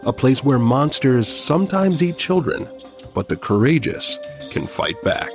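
A man's voice: mid-range voice, big pitch swings, measured pace, music in the background. A man announcing in scripted trailer narration.

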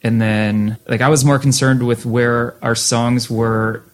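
A man's voice: mid-range voice, a little slow. A man in his thirties, a record label co-founder, chatting loosely in an interview with lots of fillers. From Western Massachusetts, US. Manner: relaxed, rambling, many "like" fillers. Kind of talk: casual interview chat.

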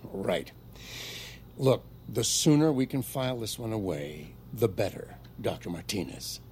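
Menacing army corporal, swinging intonation. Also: male voice, on the low side, sing-song, a little slow, a little mellow, a little hiss or hum. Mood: dismissive, sardonic. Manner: clipped, threatening, sardonic. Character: menacing, impatient army corporal, sarcastic and commanding. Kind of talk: audio drama acting.

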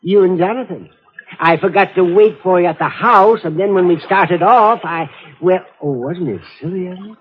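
A man's voice: high voice, normal speed, lightly acted. An actor playing a genial, scatterbrained, wealthy old eccentric in a 1950s radio drama, absent-minded and chuckling as he talks. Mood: dithering, amused. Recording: muffled phone-like old radio recording.

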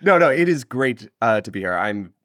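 A man chatting casually as an interview guest, halting and correcting himself in an informal way. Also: mid-range voice, sing-song, a bit bright, no room sound, studio quality. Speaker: interview guest, audio drama creator.

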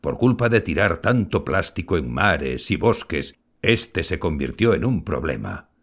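A man acting a kindly, modest old grandfather and former scientist in an audio drama, explaining things in a measured way. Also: deep voice, sing-song, fairly bright tone, full character voice. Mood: earnest, reflective. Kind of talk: scripted audio fiction acting.

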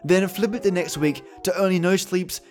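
A man's voice: sits high, steady tone, normal speed, a little mellow. A man hosting a storytelling show, chatting casually to his listeners. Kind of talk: casual outro chat to listeners. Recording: music behind the voice. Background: music.

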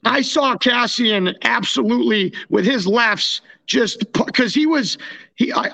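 A man's voice: extremely high pitch, creaky, bright, forceful tone, muffled recording. A young North American man chatting casually about sports as a podcast co-host, often restarting his sentences. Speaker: podcast co-host and former hockey player.

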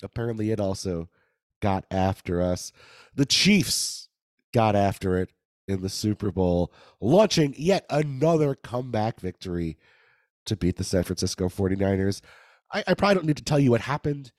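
A man with a low-ish, raspy voice hosts a casual sports podcast, sounding a bit hoarse. He is playful and laughs easily. The sound is dry and close. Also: expressive, normal speed, no room sound. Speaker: podcast host.

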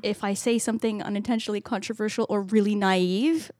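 A woman's voice: mid-range voice, steady tone, a little fast, darkish tone, dry recording, clean studio sound. A woman with a Canadian accent co-hosting a book podcast, talking casually, hesitant and self-deprecating, often laughing. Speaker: podcast co-host.

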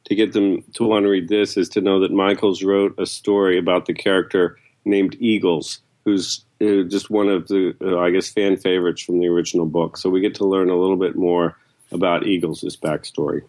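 A man, an editor, speaking in a halting way with many "uh"s and restarts. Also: deep voice, level tone, a little mellow. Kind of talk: podcast conversation.

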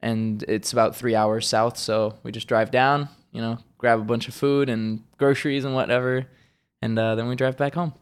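A young man from Switzerland, a podcast guest talking in a relaxed way and laughing easily. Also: mid-range voice, moderate pace, clear, resonant tone. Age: young adult. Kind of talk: casual chat.

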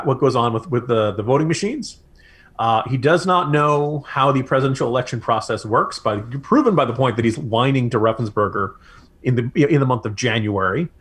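A man's voice: medium pitch, a bit bright, husky. An American man co-hosting a political podcast, giving opinionated commentary in an emphatic, sardonic way with mocking asides.

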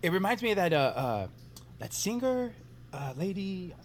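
An actor playing a goofy, eager new coworker who rambles in a casual, enthusiastic way, in an audio drama. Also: male voice, sits high, big pitch swings, moderate pace, slight background noise. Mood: friendly, goofy.

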